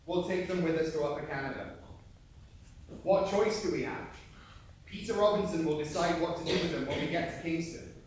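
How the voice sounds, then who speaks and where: An actor playing an authoritative officer on an emigrant ship in a stage play, formal and commanding. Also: male voice, a little high, a little fast, long pauses, natural voice. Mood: formal, firm. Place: theatre stage, picked up from afar. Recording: echoey hall, distant mic, faint noise.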